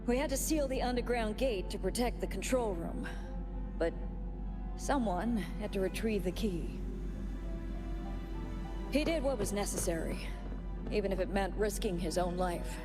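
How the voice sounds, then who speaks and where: A woman voicing a solemn video game character who speaks softly and gravely about sacrifice, in measured phrases. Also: medium pitch, close to an everyday voice. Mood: grave, reporting. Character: solemn woman recounting a fallen comrade's sacrifice. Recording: game music behind the voice. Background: game music.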